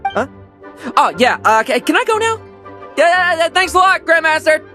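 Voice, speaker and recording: A stammering, evasive young knight. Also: male voice, very high voice, very animated, moderate pace, sharp tone, soft background music, exaggerated voice. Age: young adult. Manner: flustered, stammering, dodging. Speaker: vice captain knight. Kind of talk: video game voice acting.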